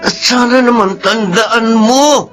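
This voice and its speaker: A man's voice: very high-pitched, slow pace, a bit bright, faint music behind, exaggerated voice. A man acting in a Filipino radio drama as a stern, exasperated father who scolds and reproaches. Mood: stern scolding.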